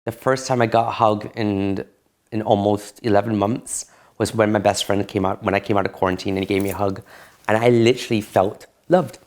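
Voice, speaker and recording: A man's voice: fairly low pitch, fairly even intonation, fairly quick. A man, telling his personal story in an interview, candid and reflective. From Barbados. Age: late 30s.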